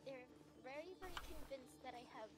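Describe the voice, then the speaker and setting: A woman's voice: sits high, a little fast, over loud music. A woman with an Australian accent, chatting casually and thinking aloud while she plays a game. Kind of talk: casual chat while gaming.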